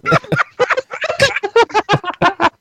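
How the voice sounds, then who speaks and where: A man's voice: very high-pitched, big pitch swings, very slow, gravelly, bright, forceful tone, no echo, clean studio sound. A man hosting a casual podcast, joking around and swearing in a playful way. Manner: jokey, profane, playful. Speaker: podcast host.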